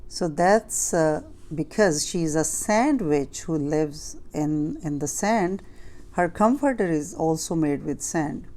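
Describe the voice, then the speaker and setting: A woman's voice: sits low, animated, slow, mellow. A woman reading a picture book aloud to children, adding simple explanatory asides as she goes. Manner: simple explaining, addressing young listeners.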